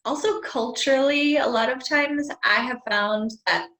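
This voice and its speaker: A woman's voice: high voice, normal speed, a bit bright. A woman hosting a podcast interview, chatty and leading with questions. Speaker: podcast host. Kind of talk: casual interview chat. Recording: echoey room, far from the mic.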